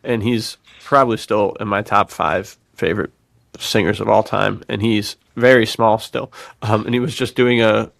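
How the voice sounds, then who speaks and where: Dude with lots of vocal fry, slangy podcast cohost, halting casual stories, dry close sound. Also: male voice, on the low side, steady tone, fairly bright tone, no room sound. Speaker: podcast co-host. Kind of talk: casual chat, storytelling.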